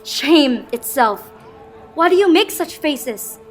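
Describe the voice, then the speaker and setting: A woman's voice: high voice, animated, slow pace, soft background music. A woman playing a commanding, scornful queen in a dramatic reading of Shakespeare. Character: commanding, scornful queen covering for her husband.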